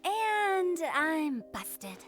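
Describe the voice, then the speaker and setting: A high, playful funeral director. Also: female voice, very animated, extremely slow, fairly bright tone, full character voice. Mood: teasing. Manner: playful, teasing, exasperated. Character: mischievous young female funeral parlor director. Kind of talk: game voice acting. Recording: game audio with faint music.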